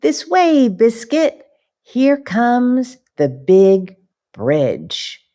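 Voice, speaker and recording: A woman's voice: on the high side, wildly swooping pitch, very slow, dark tone, voice pushed up, full character voice. A woman reading as a cheerful little girl. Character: cheerful little girl caring for her puppy.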